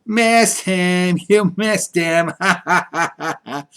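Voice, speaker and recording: A mean little storybook schoolboy, played by a male reader, taunting in a drawn-out singsong. He is sneering and loud, with slow, stretched teasing. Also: high-pitched, smooth, full character voice. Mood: gleeful mocking.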